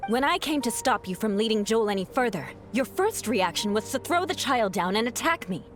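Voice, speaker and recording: A woman's voice: on the high side, lively intonation, fairly quick, natural voice. A woman voicing a proud female knight who speaks in a cool, clipped and sardonic way. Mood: accusing. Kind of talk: video game voice acting. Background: game music.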